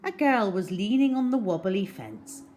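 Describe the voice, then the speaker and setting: A woman storyteller reading a children's story aloud in an expressive, dramatic way. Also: medium pitch, moderate pace, faint music behind. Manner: dramatic, animated.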